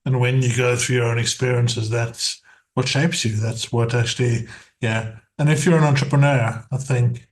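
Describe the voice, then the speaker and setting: A man hosts the interview in a middle-pitched voice. He answers his guest with enthusiastic, encouraging remarks, sometimes repeating himself as he builds a thought. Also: fairly even intonation, moderate pace. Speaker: interview host. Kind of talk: podcast interview.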